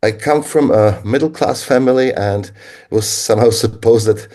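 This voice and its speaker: A man from the Czech Republic with a Czech accent, speaking English as a guest in an interview, reflective and halting with frequent pauses. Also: medium pitch, dark tone. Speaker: guest linguist. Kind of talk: conversational interview answers.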